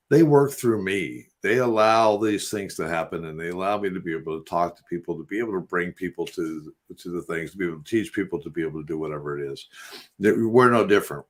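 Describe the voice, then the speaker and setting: A man from West Virginia hosting a spiritual podcast, talking in an earnest, rambling, preachy way. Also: deep voice. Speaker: host. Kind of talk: casual spiritual talk on a radio podcast.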